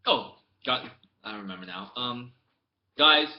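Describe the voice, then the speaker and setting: A young man from the United States, chatting casually to his camera as a vlogger. He tells his story in a rambling way with many restarts, and it swings from mumbled asides to loud outbursts. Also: medium pitch, lively intonation, a little slow, highs cut off. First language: English. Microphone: laptop webcam mic.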